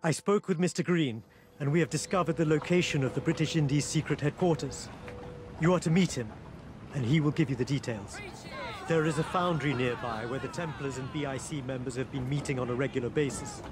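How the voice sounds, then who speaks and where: A man's voice: mid-range voice, fairly quick, over music, lightly acted. A male actor playing a genteel, dignified exiled prince, formal and courteous, in a video game cutscene. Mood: measured.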